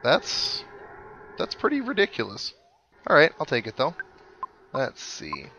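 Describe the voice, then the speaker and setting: A man's voice: fairly high pitch, wildly swooping pitch, unhurried, bright, forceful tone, a little hiss or hum. A man giving casual commentary over a video game playthrough, thinking aloud with mild exclamations. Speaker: gaming video host. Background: video game music.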